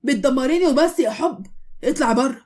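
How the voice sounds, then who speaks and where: A woman voicing a short-tempered male police officer who shouts orders, with an Egyptian accent. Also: a little high, steady tone, racing, lightly acted. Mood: angry. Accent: Egyptian Arabic. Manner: shouting, commanding.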